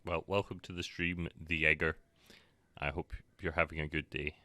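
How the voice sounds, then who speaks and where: Low-voiced, bright male game streamer. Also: level tone, no echo. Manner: halting, stammering, playful chat banter. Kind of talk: casual live-stream commentary.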